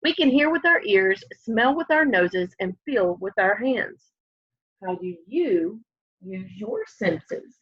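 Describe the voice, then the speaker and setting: A woman's voice: medium pitch, animated, takes it slowly, slightly rough. A woman reads a children's book aloud expressively at home, speaking simply as if to young children. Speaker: storybook reader. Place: room at home, by a window. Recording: slightly dull, lo-fi home webcam sound.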